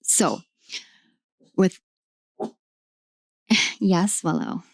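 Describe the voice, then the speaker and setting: A young woman with a hoarse, raw voice that sits on the lower side, speaking close and dry at home. She rambles fondly through a tarot reading, filling pauses with ums. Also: big pitch swings, a little mellow, no room sound. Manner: rambling, affectionate, many ums, talks to her pets. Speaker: tarot reader. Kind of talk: casual tarot reading to camera. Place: at home in a room.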